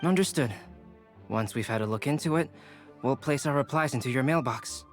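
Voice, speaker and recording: A man voicing a calm, courteous young alchemist and teacher in a video game, polite and inquisitive. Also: mid-range voice, normal speed, close to an everyday voice. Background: game music.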